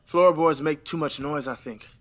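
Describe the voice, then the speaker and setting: A man's voice: a little high, normal speed, a bit bright, slight background noise, close to an everyday voice. A young man playing an earnest, ambitious newlywed husband in an audio play set in the 1920s, with a hesitant, dreamy manner. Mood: earnest, tender. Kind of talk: stage play audio reading.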